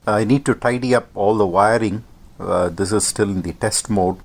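An older man giving an informal technical demonstration as a radio hobbyist, speaking in a measured, matter-of-fact way. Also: fairly low pitch. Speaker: amateur radio hobbyist presenting. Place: indoor home radio workshop. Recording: clearly noisy home workshop recording.

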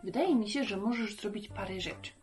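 A woman's voice: medium pitch, fairly quick, a little mellow, faint music behind. A woman in her thirties presenting for children, chatting simply in a puppet dialogue. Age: 30s. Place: small book-lined room indoors.

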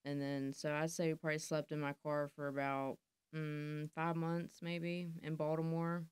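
A woman's voice: low-pitched, little pitch movement, normal speed, clean tone, no room sound. Plain-spoken woman. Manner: blunt, matter-of-fact, agreeable interjections. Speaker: guest. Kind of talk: candid interview. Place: podcast studio, indoors. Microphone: studio mic on boom arm.

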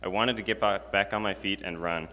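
Low-voiced teen boy in a reverberant chapel. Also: steady tone, fairly quick, bright, forceful tone. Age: teens. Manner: earnest, reads from notes, casual fillers. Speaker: student speaker. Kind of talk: prepared school chapel talk. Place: school chapel, large indoor space. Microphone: stand mic at a lectern. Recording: long hall reverb.

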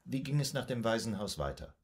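An actor playing a composed, probing male psychoanalyst in a radio drama, formal, measured and clinical in manner. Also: mid-range voice, sing-song. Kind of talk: German radio drama acting.

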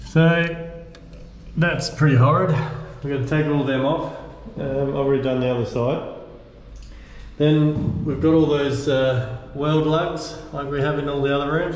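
A man's voice: mid-range voice, a little mellow. A man in his thirties giving a casual, plain-spoken walk-through of repair work, thinking aloud as he goes. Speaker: boat restorer and vlogger. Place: inside a bare steel boat hull under restoration. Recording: echoey metal room, background noise.

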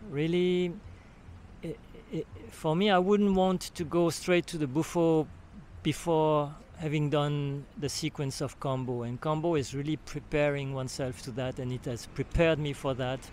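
A man's voice: fairly high pitch, fairly even intonation, moderate pace, a little mellow, slight background noise. An older man from Switzerland with a French accent gives a spoken testimonial outdoors at a beach camp, speaking into a handheld recorder and often searching for words and restarting. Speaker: retreat participant giving a testimonial. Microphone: handheld recorder held near the mouth.

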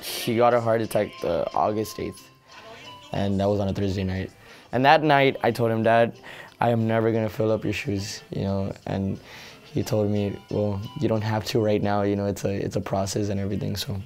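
A man's voice: on the low side, steady tone, moderate pace, a little mellow, faint music behind. A young man, talking casually in an interview as a student musician. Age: late teens. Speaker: high school mariachi violinist. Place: small studio room, indoors.

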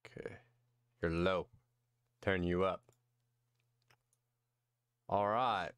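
A man hosting a casual podcast, relaxed and encouraging toward his guest. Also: deep voice, extremely slow, clean tone, dry recording. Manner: laid-back, encouraging, calls guest man and brother. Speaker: podcast host.

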